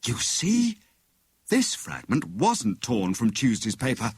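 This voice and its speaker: A man voicing a clever, excitable married woman who plays amateur detective, with a brisk, knowing manner. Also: medium pitch, breathy edge, dry recording, full character voice. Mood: excited, intent.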